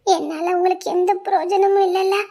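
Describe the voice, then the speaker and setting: A woman acting a tender young woman in love, soft and tearful, in a romantic drama. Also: extremely high pitch, fairly even intonation, fairly quick. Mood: tender, consoling.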